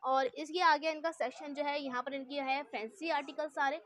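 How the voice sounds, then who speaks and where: A woman's voice: sits high, fairly even intonation, normal speed, fairly bright tone, no room sound. A woman hosting a shopping vlog inside a clothing shop, enthusiastically praising the clothes as she goes, recorded on a handheld phone camera. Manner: enthusiastic, keeps praising items. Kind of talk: casual shop tour presenting.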